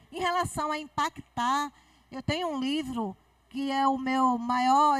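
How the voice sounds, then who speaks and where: A woman's voice: high-pitched, dry recording, recorded from a distance, poor audio. A woman author speaking firmly and with self-assurance on a book fair panel.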